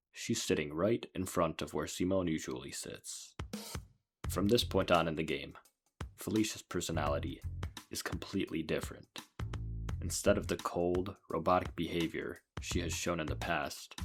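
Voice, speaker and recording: Very low-pitched male storytelling narrator. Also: slightly rough. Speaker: video narrator reviewing a visual novel. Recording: music under the voice. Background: background music.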